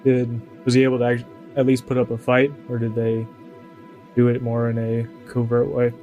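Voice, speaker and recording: A man as a monotone, reserved soldier. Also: medium pitch, moderate pace, close to an everyday voice. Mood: guarded, concerned. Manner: terse, understated, monotone. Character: reserved, dutiful male soldier on a mission. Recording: muffled band-limited audio under music.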